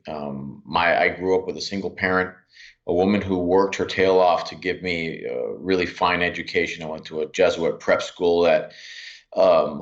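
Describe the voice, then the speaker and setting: Man, 40s, from Miami, very low voice, earnest, halting, frequent restarts, lo-fi sound. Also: a bit bright. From Miami, USA. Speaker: podcast guest. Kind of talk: reflective interview talk. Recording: dull lo-fi sound.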